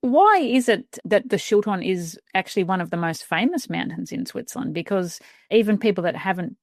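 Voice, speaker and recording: A woman's voice: mid-range voice, expressive, brisk, creaky, darkish tone, dry recording, clean studio sound. A woman hosting a travel podcast, reading a scripted intro and then chatting with a guest. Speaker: podcast host.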